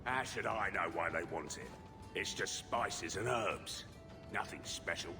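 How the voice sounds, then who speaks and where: A shifty smuggler in a fantasy game, with a low-pitched voice. He sounds evasive and put-upon, over clearly audible music. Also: unhurried. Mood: defensive. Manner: defensive, exasperated. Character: shifty, aggrieved male smuggler, evasive and self-pitying. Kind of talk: video game dialogue acting.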